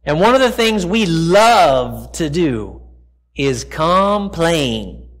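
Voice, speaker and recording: A man's voice: on the high side, big pitch swings, extremely slow. A middle-aged American man giving a sermon as a pastor, conversational and explanatory, often stepping aside to address his listeners. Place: large church sanctuary, speaking from the stage.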